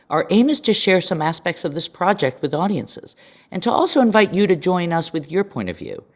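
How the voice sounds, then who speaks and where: A woman, a stage director, reading a scripted introduction to a podcast. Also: sits low.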